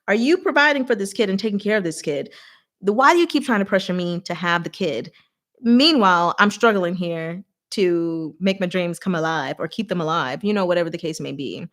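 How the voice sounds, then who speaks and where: A woman's voice: lowish voice, brisk. A woman hosting a casual livestream, rambling candidly and expressively as she responds to viewers and acts out bits of dialogue. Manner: animated and candid, acts out imagined dialogue, laughs often. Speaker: livestream host. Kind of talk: casual rambling livestream chat, reading and answering viewer comments.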